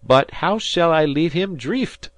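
An earnest, humble fisherman, voiced by a man, speaking in broken phrases pitched well above the narrator's own voice. The sound is dry and close. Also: lively intonation, slow pace, a bit bright, no room sound.

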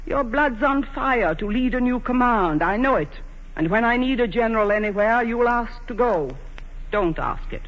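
A woman's voice: a little high, lively intonation, normal speed, close to an everyday voice. An actress playing a proud, aging English queen, imperious yet vulnerable, in stately 1940s radio theatre style. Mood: tender, pleading. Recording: muffled vintage 1940s radio broadcast.

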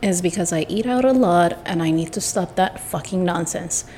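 A woman chatting casually and effusively to her followers, rambling with drawn-out emphatic words, her throat congested and cracking a little. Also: lowish voice, dark tone. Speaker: vlogger addressing followers.

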